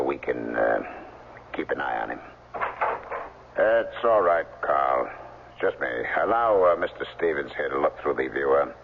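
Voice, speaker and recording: A man acting a menacing, smooth-talking right-hand man of a reclusive billionaire in a 1970s radio drama, with measured, politely veiled speech. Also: very deep voice, heavily acted. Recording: muffled, lo-fi 1970s radio with noise.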